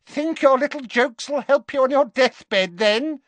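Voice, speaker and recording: A man voicing a stocky, jeering witch woman in a high, shrill put-on voice for a storybook reading. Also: a little slow, fairly bright tone, dry recording.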